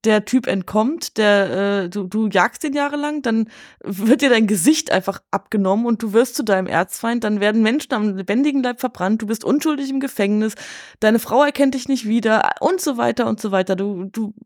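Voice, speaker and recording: A woman's voice: a little high, brisk, no echo, studio quality. A woman speaking in a casual film discussion, retelling things colloquially and acting out reactions. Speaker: podcast guest discussing a film.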